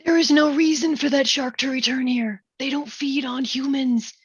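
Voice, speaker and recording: A woman's voice: high voice, steady tone, normal speed, no room sound, highs cut off, close to an everyday voice. A woman reading a stubborn, dismissive beach-town mayor in a musical comedy table read, glib and insistent.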